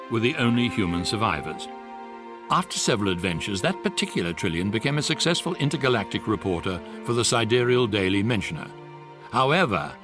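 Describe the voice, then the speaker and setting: A man delivering scripted comic narration for a radio drama with wry, deadpan wit. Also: fairly low pitch. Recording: music behind the voice.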